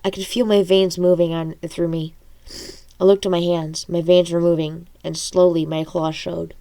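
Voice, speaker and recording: A woman's voice: fairly low pitch, flat delivery, normal speed, warm tone. Congested woman reading her story aloud. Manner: informal, stumbling over words. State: congested nose from allergies. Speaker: amateur audiobook reader and author.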